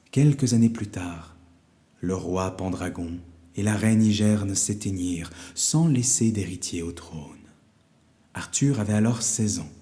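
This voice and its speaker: A man narrating a scripted fairy tale for children as a storyteller, measured and with dramatic pauses. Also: on the low side, mellow.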